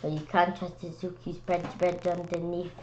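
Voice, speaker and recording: A woman reading a storybook aloud with casual asides, in a halting way, stumbling over and repeating words. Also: on the low side, level tone, dark tone, muffled recording, a little hiss or hum. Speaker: read-aloud presenter.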